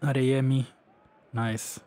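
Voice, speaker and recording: A young man who is not a native English speaker, rambling excitedly over his own gameplay with lots of fillers. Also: medium pitch, takes it slowly. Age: young adult. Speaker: gaming youtuber. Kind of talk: casual gameplay commentary.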